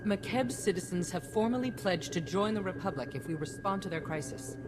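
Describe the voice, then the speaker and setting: A woman's voice: on the low side, animated, a little fast, music in the background, natural voice. A woman playing a commanding head of state in a video game, speaking in a firm, oratorical way. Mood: resolute. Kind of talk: scripted video game acting.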